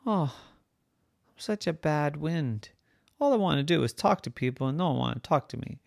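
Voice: mid-range voice, animated, moderate pace, gravelly, no echo, clean studio sound. A storyteller voicing a lonely, earnest wind character in a children's story, prone to emotional outbursts. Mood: remorseful. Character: Harry, lonely sad wind growing frustrated and pleading.

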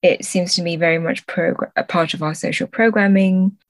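A woman's voice: on the low side, fairly even intonation, normal speed, no room sound. A woman answering as a podcast guest in a thoughtful conversational way, often searching for words and correcting herself. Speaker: podcast guest, translator and book editor.

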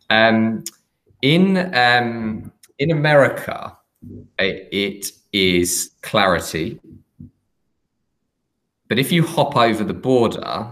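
Low-voiced man hosting a chat. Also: a bit bright. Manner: hesitant, many fillers and restarts. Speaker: podcast host. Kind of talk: casual interview chat.

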